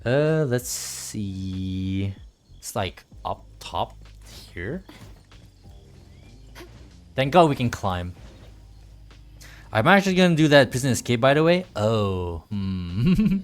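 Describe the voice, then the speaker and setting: Lively-pitched man chatting over game music. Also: slow pace, pauses often. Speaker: game livestreamer. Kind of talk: casual gameplay commentary and chatting with viewers. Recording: music playing behind the voice.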